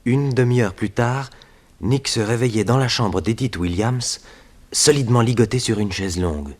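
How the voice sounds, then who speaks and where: An actor playing the narrator of an old radio crime serial, setting the scene in scripted style. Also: male voice, medium pitch, moderate pace, darkish tone, lightly acted. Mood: matter-of-fact.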